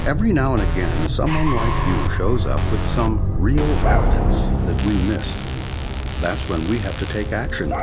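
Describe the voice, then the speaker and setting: Alien chief: deep, measured, condescending menace, radio drama with prominent music bed. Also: male voice. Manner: measured, falsely reassuring, condescending. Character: calm, sinister alien leader posing as UFO researcher. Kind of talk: audio drama acting. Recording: loud music under the voice.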